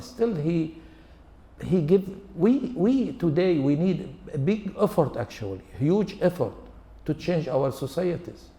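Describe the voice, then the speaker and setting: A man in his seventies, an Arabic speaker, delivers an English-language religious lecture. His voice is mellow and dark, and he piles up lists and rhetorical questions in a measured way. The sound carries a long reverb tail from a large hall. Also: a little high. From Lebanon. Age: 70s. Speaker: Islamic scholar and lecturer.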